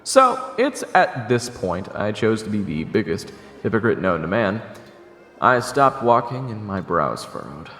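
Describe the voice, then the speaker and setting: A man playing a sarcastic young traveler who narrates his own adventure in a wry, expressive, snarky way. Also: fairly low pitch, a little slow, full character voice. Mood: wry narration. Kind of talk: first-person dramatic narration and dialogue. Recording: roomy echo with long reverb, music behind.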